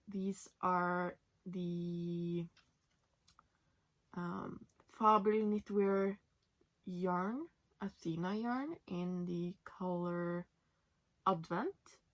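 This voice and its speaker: A young woman in her twenties from Norway, speaking English as a second language in a casual, chatty way with many fillers while sitting in her bed at home. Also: lowish voice. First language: Norwegian. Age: 20s. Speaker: knitting podcast host. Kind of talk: casual chat.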